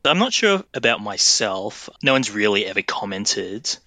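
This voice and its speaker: Bright-toned man, reflective. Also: lowish voice, sing-song, moderate pace, no room sound. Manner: reflective storytelling. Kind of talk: casual chat.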